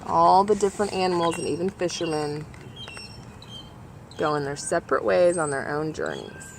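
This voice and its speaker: A woman's voice: low voice, lively intonation, moderate pace, vocal fry, a little hiss or hum, low-quality recording. A woman reading a children's picture book aloud, explaining things directly to her listeners as she goes. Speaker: storybook reader for a nature organization.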